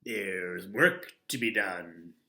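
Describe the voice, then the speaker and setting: A man's voice: low-pitched, extremely slow, pushed and bright, voice pushed down, heavily acted. A man voicing a mean, stingy little laundry owner who scolds with a frown. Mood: stern.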